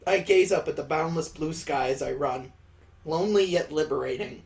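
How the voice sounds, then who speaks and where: A man's voice: fairly high pitch, normal speed, vocal fry. A man hosting a gaming video, reading a visual novel aloud with sarcastic, irreverent joking asides.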